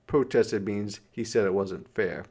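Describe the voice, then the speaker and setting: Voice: deep voice, measured pace, darkish tone, slightly rough. A teacher reading a children's story aloud, explaining simply and putting questions to the listener.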